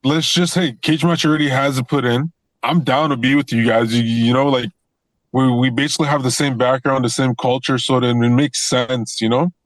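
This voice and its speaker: A young man from Toronto, a pro wrestler talking casually as an interview guest, relaxed, often repeating himself and laughing easily. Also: medium pitch, moderate pace, no echo. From Toronto, Canada.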